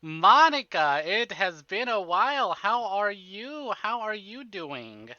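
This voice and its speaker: A man's voice: high voice, sing-song, slow, sharp tone, no room sound, dull audio. A man hosting a casual livestream, chatting to viewers in a playful, rambling, exclamatory way and often repeating himself. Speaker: livestream host, gamer.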